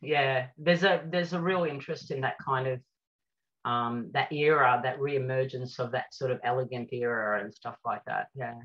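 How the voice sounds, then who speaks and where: A woman's voice: extremely low pitch, normal speed, a bit bright. A woman guest on a casual interview, chatting in a rambling way with many fillers over a video call. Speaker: guest artist and cabaret singer. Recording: lo-fi lockdown call audio.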